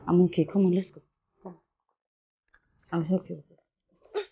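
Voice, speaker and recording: Tired protective woman in a quiet movie scene, low creaky voice, hushed. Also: fairly even intonation, unhurried, phone-quality sound, slight background noise. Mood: weary, soothing. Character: weary, protective woman soothing a child at night. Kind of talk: quiet film dialogue.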